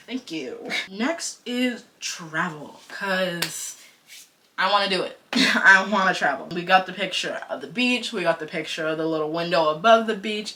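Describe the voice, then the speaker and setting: Young woman, bright clear tone, casual slangy vlog chatter. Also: fairly low pitch, slight background noise. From United States. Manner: chatty, animated, slangy and self-joking. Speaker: vlogger and podcaster.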